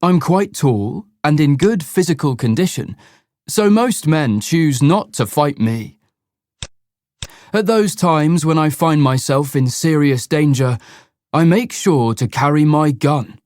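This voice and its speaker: A man reading the part of a proud, clever detective slowly and carefully for learners. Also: on the high side, animated, a little mellow, dry recording. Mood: self-assured. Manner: slow, measured, self-assured.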